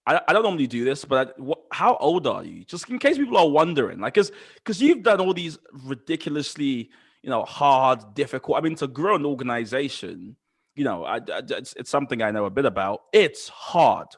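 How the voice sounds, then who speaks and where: An enthusiastic man hosts a casual podcast, talking eagerly and often repeating words or restarting mid-sentence. His voice sits at an ordinary pitch for a man. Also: sing-song, fairly quick, husky. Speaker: podcast host.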